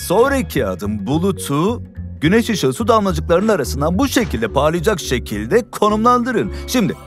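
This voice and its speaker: Lively, showy cartoon rainbow performer. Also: male voice, sits high, normal speed. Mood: instructive, upbeat. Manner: upbeat, theatrical, instructive. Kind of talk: dubbed children's educational cartoon acting. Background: music.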